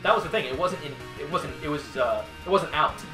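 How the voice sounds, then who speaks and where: A man's voice: fairly high pitch, lively intonation, brisk. Rambling swearing young man. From Wisconsin, USA. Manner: rambling storyteller, swears freely, restarts often. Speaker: co-host of a gaming show. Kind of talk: casual commentary chat over gameplay. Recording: roomy recording with game music behind.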